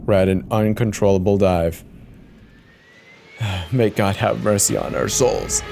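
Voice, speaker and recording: A man's voice: lowish voice, measured pace, vocal fry, music in the background. A man playing an uneasy, cynical airline co-pilot in a horror audio drama, speaking in a tense, resigned way. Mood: grim, resigned. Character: uneasy, cynical male co-pilot in a failing plane.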